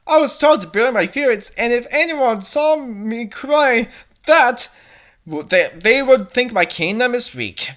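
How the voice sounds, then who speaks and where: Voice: medium pitch, animated, unhurried, fairly bright tone, voice pushed up. A reader voicing an emotional young cartoon princess in a melodramatic, pleading way. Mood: distraught.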